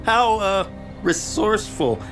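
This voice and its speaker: An actor playing a friendly, wry army captain in an audio drama, with a playful, theatrical delivery. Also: male voice, sits high, expressive, takes it slowly, over music. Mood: amused, friendly. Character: jovial, wry military captain, food-loving and friendly.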